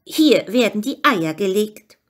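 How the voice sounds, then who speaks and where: Woman reading as a proud, lively rabbit guide. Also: mid-range voice, slow pace. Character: friendly male Easter rabbit guide, proud and explaining. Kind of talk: storybook character reading.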